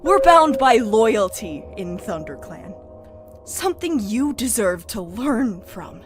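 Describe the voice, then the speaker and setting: A woman voicing a stern, fiercely protective older mother cat with a firm, measured delivery in an animated fan drama. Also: on the high side. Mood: fierce, indignant. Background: dramatic background music.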